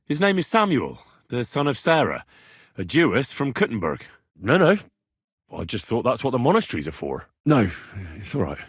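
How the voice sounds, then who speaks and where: Husky young medieval everyman. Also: mid-range voice, wildly swooping pitch, moderate pace, no room sound, studio quality, heavily acted. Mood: frank, defensive. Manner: colloquial, conversational, inquisitive. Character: earnest, plain-spoken young medieval man in a lord's service. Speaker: video game protagonist. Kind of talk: naturalistic game dialogue acting.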